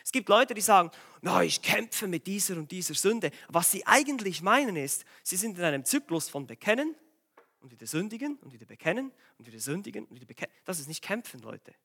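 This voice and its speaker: A man's voice: high voice, big pitch swings, brisk, sharp tone. A man with a cold and a slightly strained voice, preaching a sermon in an urgent, exhorting way and firing direct questions at the congregation. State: has a cold, voice a bit strained.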